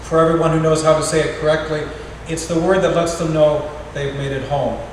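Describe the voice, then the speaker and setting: A middle-aged man reads a poem in a gentle, wry, unhurried way. He is picked up from across a big reverberant parish hall, with a steady hiss of noise under his voice. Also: fairly high pitch. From United States. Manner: gentle, wry, measured reading with pauses. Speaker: parish artist in residence reading a poem. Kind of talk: poetry reading at a farewell gathering. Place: large echoey parish hall. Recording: echoey hall from far off, noisy.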